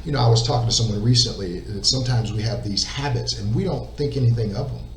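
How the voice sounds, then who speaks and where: A man's voice: medium pitch, fast. Earnest man in a roomy, distant recording. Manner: emphatic, repeats words, asks "right?". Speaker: interview guest. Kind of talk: earnest faith testimony. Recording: roomy echo, distant mic, faint noise.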